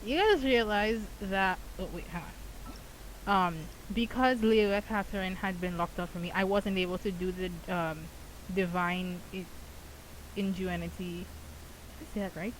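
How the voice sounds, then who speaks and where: A woman's voice: medium pitch, steady tone, normal speed, rough recording. A woman with a mid-range voice chats casually through a game as its commentator. She rambles conversationally, and a faint hiss runs underneath. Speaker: gaming content creator.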